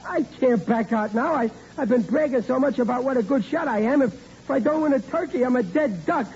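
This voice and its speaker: Blundering sitcom dad: high voice, blustery, stammering, boastful, muffled old radio sound. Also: male voice, a little fast, a little mellow. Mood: flustered. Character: blundering, boastful, stubborn working-class sitcom husband and dad. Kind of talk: 1940s radio comedy acting. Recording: muffled old radio recording, faint noise.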